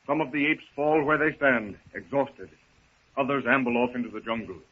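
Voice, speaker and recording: A man's voice: mid-range voice, normal speed, slightly rough. A man giving florid, dramatic scripted narration in the manner of a 1930s radio serial. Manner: florid, theatrical. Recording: noisy tinny 1930s radio recording.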